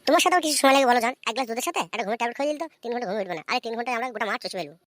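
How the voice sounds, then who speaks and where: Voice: medium pitch, lively intonation, racing, fairly bright tone, dry recording, lightly acted. A performer voicing a lovestruck, lecherous young village man in a comic cartoon, wheedling and sweet-talking. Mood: amorous, coaxing. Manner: wheedling, cajoling. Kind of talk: comic Bengali cartoon voice acting.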